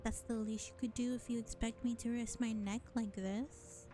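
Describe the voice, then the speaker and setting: A woman playing a sly, self-serving vampire bar owner in an audio roleplay, speaking in a sardonic, condescending drawl with deliberate pauses. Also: on the high side, fairly even intonation, mellow, faint music behind, close to an everyday voice. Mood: condescending teasing. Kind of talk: scripted audio roleplay acting addressed to listener.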